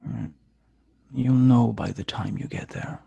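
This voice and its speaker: Guy doing creepy patient killer in a script read, super raspy and gravelly, unhurried, sinister. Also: medium pitch, steady tone, mellow, highs cut off, heavily acted. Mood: cold, commanding. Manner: slow, deliberate, sinister. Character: menacing male killer taunting by phone, cold and patient. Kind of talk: screenplay table read.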